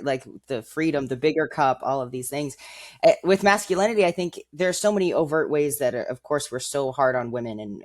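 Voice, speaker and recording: A man co-hosting a podcast who talks reflectively, thinking aloud with fillers and working through an extended analogy. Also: medium pitch. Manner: thoughtful, uses fillers, builds ideas through analogy. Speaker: podcast co-host. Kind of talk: reflective casual conversation.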